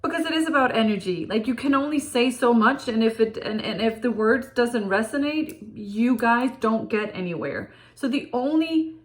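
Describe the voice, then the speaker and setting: A woman's voice: a little high, expressive, normal speed. A woman from Denmark with a Danish accent, a relationship and breakup coach speaking earnestly to her viewers, with many fillers and restarts. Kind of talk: direct-to-camera talk to viewers.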